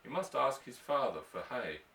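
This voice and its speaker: Animated male audiobook narrator, echoey room. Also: medium pitch, normal speed, creaky. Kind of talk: scripted story narration. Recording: echoey room, distant microphone.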